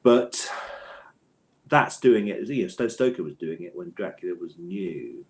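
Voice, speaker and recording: A man with a notably low voice answers interview questions as an author. He speaks conversationally and thoughtfully, hesitating and doubling back to reword his points as he goes. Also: expressive, measured pace. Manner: thoughtful, hesitant, hedging and circling back to rephrase.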